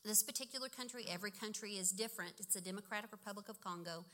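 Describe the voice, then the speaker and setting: Bright-voiced chatty woman in her fifties. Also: medium pitch, rapid-fire. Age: 50s. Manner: chatty, laughing, self-correcting. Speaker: speaker sharing a personal faith story. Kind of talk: casual testimony talk.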